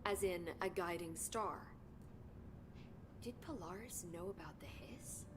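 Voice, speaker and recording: A brisk, curious researcher. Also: female voice, lowish voice. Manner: brisk, informative, slightly excited. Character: eager female bureau researcher, brisk and curious. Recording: game audio with clear noise.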